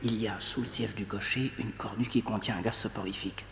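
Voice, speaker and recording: Detective's eager nephew, reverberant. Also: male voice, lowish voice, steady tone, a little fast, gravelly, a bit bright, a little hiss or hum. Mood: confiding. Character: detective's loyal, eager young nephew, storyteller. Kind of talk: French radio serial acting, storytelling.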